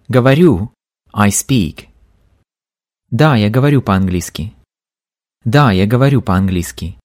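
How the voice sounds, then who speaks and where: A man teaching a language lesson, slowly and clearly repeating each phrase for learners. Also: fairly low pitch, a little mellow. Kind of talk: scripted language lesson narration.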